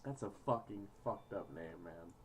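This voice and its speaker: A woman's voice: rumbling low, normal speed, slight background noise. A foul-mouthed woman reading a game aloud with casual, crude joking and stumbles over the words. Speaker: gaming video host.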